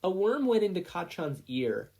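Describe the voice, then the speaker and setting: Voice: mid-range voice, sing-song, moderate pace, dark tone, pitched above the performer's own voice. A story narrator voicing an earnest, stubborn preschool boy who sounds insistent. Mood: stubborn, matter-of-fact.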